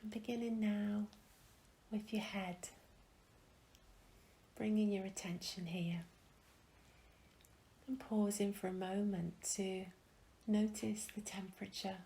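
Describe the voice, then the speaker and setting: A woman leading a guided meditation in a gentle, calm, soft and breathy voice, with long pauses, often trailing into a whisper. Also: mid-range voice. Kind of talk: guided meditation, soothing instruction.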